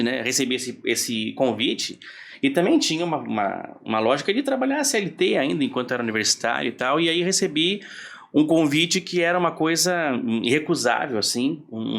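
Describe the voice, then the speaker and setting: A man in his forties from southern Brazil talks as a podcast guest in a middle-pitched voice. His speech is relaxed and meandering, full of restarts, and he laughs easily. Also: creaky. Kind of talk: casual storytelling in conversation.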